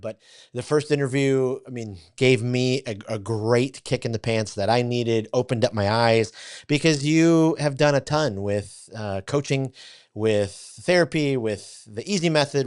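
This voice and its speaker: A man's voice: medium pitch, darkish tone, dry recording, studio quality. Upbeat man hosting a podcast. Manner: effusive, repeats words when restarting. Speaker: podcast host. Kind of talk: enthusiastic podcast interview.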